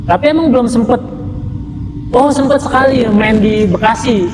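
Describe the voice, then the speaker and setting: A man in his thirties from Jakarta, a guest athlete giving short, low-key answers in casual chat. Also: moderate pace, long reverb tail, poor audio.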